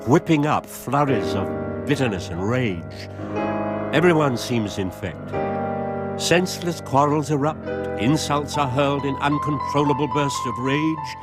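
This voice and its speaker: A man's voice: medium pitch, expressive, measured pace. A man delivering scripted dramatic narration as the narrator of a radio play. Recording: loud piano music behind.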